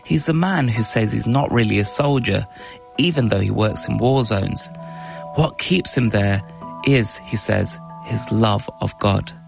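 Male radio documentary presenter. Scripted, measured narration, lowish voice with plenty of creak. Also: soft background music.

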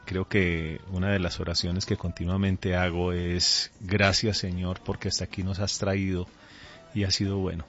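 Low male voice, Colombian accent, earnest pastor, conversational, dull lo-fi radio sound. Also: flat delivery, moderate pace, a bit bright, faint music behind. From Colombia, raised there from age two. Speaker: pastor, interview guest. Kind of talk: radio interview, conversational testimony. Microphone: studio microphone. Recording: dull, band-limited radio audio.

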